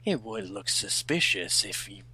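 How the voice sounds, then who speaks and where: A man's voice: lowish voice, sing-song, measured pace, bright, forceful tone, husky, no echo. A man co-reading fan fiction and directing the others with casual, crude, teasing banter.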